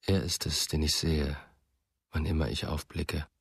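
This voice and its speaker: Radio drama male lead: brooding, low-pitched, slow-spoken, husky. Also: level tone, no room sound, full character voice. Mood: brooding, wistful. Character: brooding male narrator, old friend of a missing writer. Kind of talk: German radio drama acting, first-person narration.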